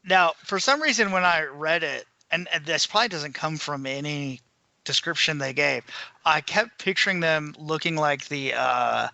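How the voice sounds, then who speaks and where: A man's voice: a little high, moderate pace, vocal fry, sharp tone, no echo, lo-fi sound. A man chatting casually about books as a podcast panelist. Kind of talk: casual book discussion.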